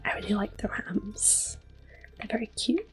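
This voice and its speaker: Woman, ASMR game commentary, breathy whispers, gentle, slow, faint music behind. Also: medium pitch, lively intonation, rough recording. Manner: gentle, unhurried. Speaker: ASMR gameplay host.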